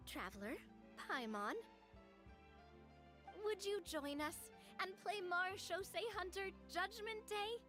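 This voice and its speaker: Game character, a sociable young lady: high voice, lively swings, effusive and playful, music underneath. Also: slow pace, exaggerated voice. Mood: enthusiastic. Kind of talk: animated video game voice acting.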